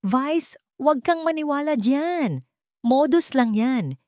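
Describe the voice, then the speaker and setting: Woman acting a furious high-pitched older rich matriarch. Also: animated, slow, darkish tone, no room sound, studio quality. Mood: scornful, outraged. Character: furious haughty older rich mother, imperious.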